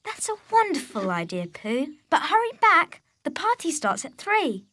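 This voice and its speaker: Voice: high voice, wildly swooping pitch, moderate pace, sharp tone, slight background noise, exaggerated voice. A performer playing a kind, patient, cheerful young boy in a children's cartoon, teaching his friends in an encouraging way. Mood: friendly, encouraging. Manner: patient, encouraging, clearly enunciated. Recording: music under the voice.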